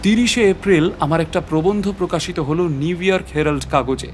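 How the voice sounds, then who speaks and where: একজন পুরুষ অভিনেতা প্রাকৃতিক ইতিহাসের অধ্যাপকের চরিত্রে নাটকীয়, রহস্যময় ভঙ্গিতে নিজের অভিযানের গল্প বলছেন।. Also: male voice, a little high, a little fast, a little hiss or hum, natural voice. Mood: scholarly, intrigued. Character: male natural history professor recounting his adventure. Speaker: narrator. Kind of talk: dramatic scripted story narration.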